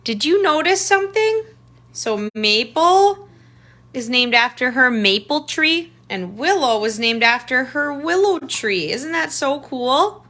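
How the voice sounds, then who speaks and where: Lady reading a kids picture book, slow pace, really bright voice, stops to point stuff out. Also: high voice, expressive. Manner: simple child-directed phrasing, pointing things out with questions and remarks. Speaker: tutor reading picture books aloud. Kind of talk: children's read-aloud with explanatory asides.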